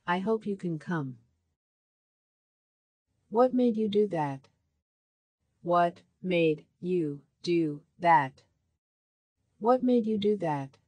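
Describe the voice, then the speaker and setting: A woman reads short practice phrases for a language course, her voice sitting a little low. She speaks very slowly, enunciating every word with care. The recording is dry and close, with no room sound. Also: dry recording. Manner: clear, careful enunciation, each phrase repeated.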